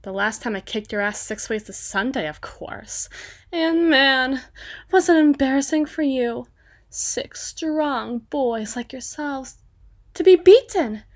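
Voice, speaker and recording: A woman's voice: medium pitch, darkish tone, close to an everyday voice. A woman playing a small, proper, cocky female vampire in an ASMR roleplay, chatty and teasing in a playfully prim way. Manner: playfully prim, teasing, with drawn-out phrases and asides.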